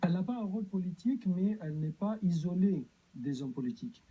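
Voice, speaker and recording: A man's voice: high-pitched, animated, fairly quick, warm tone, husky, lo-fi sound. A man from Egypt, a guest answering interview questions hesitantly, with many fillers and restarts.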